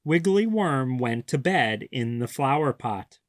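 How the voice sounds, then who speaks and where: A man reading a children's picture book aloud, clearly and expressively. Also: mid-range voice, animated, unhurried, no room sound, studio quality. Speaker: read-aloud storyteller.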